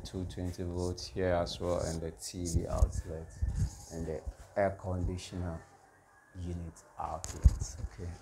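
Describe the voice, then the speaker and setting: A man giving a casual walk-through as an electrician, halting as he lists items, on a handheld recording inside an unfinished house. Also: low voice. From Ghana. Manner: halting, itemising. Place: indoors, unfinished house with bare walls. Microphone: handheld phone or camera. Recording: noisy handheld recording in bare room.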